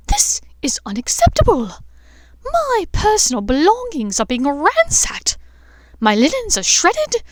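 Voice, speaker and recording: A woman's voice: extremely high pitch, no echo, voice pushed up, heavily acted. A woman voicing a prim, imperious female professor in an outraged, emphatic manner for an audiobook. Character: shrill, furious, prim middle-aged female professor.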